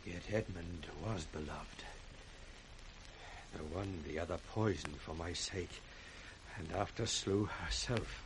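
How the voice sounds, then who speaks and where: An actor playing a dying villainous British nobleman, proud and repentant, husky and strained, in radio Shakespeare. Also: low voice, slow pace, noisy background. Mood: weak, bitter.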